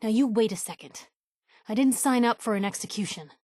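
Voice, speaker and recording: Game companion: young female reporter, played by a woman, higher-voiced, principled and sassy, old-fashioned slangy banter, very dry close recording. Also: a little fast, a little mellow, dry recording, natural voice. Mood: indignant. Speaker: video game voice actress. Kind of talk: video game companion voice lines.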